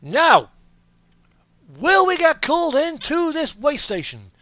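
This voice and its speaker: A man's voice: very high-pitched, wildly swooping pitch, slow, sharp tone, dry recording, clean studio sound. A man giving casual gaming commentary as he plays a driving simulator, talking to himself and the game, sometimes swearing. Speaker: streamer playing a truck driving simulator.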